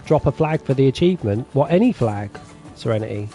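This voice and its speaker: A man's voice: mid-range voice, a little fast, soft background music. A man streaming a farming game, chatting casually with his viewers and reading their messages aloud. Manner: relaxed, chatty, reads chat aloud. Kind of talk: casual chat with viewers while gaming.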